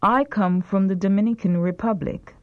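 A woman reading short prompt sentences slowly and clearly for a language drill. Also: medium pitch, steady tone, phone-quality sound. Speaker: language lesson reader. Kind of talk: slow repeat-after-me language drill.

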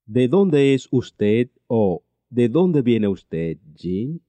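A man teaching a language lesson, reading each phrase slowly and repeating it twice. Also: medium pitch, sing-song, dark tone, dry recording. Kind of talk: slow repeated translation drills.

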